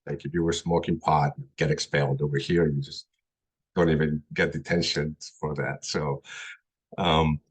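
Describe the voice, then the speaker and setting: A middle-aged man who grew up in Colombia, giving a personal talk as a guest speaker, halting with many pauses and restarts. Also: rumbling low, little pitch movement, breathy edge.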